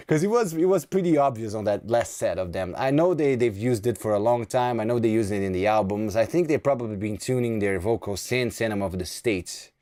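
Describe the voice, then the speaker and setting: Brazilian-accented man, mellow rambling stream chat. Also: fairly low pitch, expressive, moderate pace. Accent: Brazilian Portuguese. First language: Portuguese. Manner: rambling, repeats words as he restarts. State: sleepy. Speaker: live stream host. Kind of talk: casual live stream chat.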